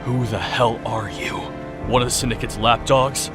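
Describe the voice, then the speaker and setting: A man playing a tough, defiant, gruff immortal murderer in an audio drama. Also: medium pitch, fairly even intonation, normal speed, over music, natural voice.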